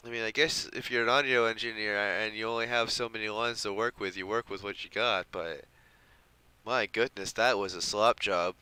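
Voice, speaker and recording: A man's voice: lowish voice, steady tone, normal speed, bright, forceful tone, slight background noise, low-quality recording. A man giving casual live gameplay commentary as a streamer, slangy and given to swearing and mimicry. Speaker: game streamer.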